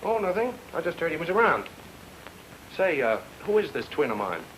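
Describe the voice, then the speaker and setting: A man's voice: fairly high pitch, very animated, moderate pace, fairly bright tone. A man acting a breezy, wisecracking private detective in a film noir radio drama. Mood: probing. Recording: noisy old-time radio recording.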